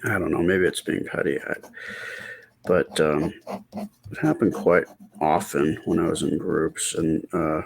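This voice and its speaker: A middle-aged man talking softly and casually in ASMR style as he draws, with a quiet, hesitant, rambling delivery. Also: rumbling low, measured pace, vocal fry, long pauses, slight background noise. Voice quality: quiet, soft-spoken voice. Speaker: artist and channel host. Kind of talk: casual ASMR storytime while drawing.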